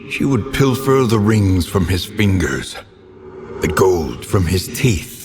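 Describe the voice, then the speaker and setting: A male actor voicing a grim, ominous storyteller for a dark gothic game, narrating in a grave, theatrical, measured way with ornate delivery. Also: sits low. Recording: long reverb, noisy ambient bed.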